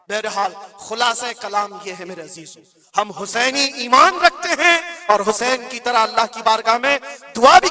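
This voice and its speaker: High-pitched, forceful Indian male preacher. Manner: emphatic, exhorting, repeats phrases for weight. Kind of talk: impassioned religious sermon.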